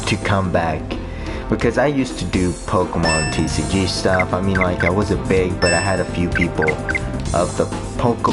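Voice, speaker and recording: A man with a low, deep voice talks in a flat monotone as he streams a game, wandering from thought to thought with plenty of "um"s and restarts. Loud game music plays under his voice the whole time. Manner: rambling, with many fillers and restarts. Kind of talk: casual rambling chat while streaming a game.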